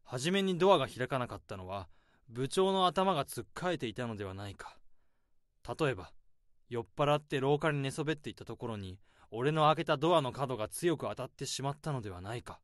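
A man's voice: medium pitch, lively intonation, fairly quick, no room sound, studio quality, close to an everyday voice. A man playing a tense, driven office drone. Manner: tense inner monologue, rising to frantic self-pep talk. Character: harried office-worker man hiding his boss's body. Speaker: narrator and protagonist. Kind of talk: first-person radio drama monologue.

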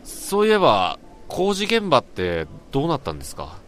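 A man's voice: medium pitch, huge pitch swings, normal speed, a bit bright, slight background noise. A man acting a polite, puzzled and troubled junior office worker in a Japanese radio drama, speaking hesitantly.

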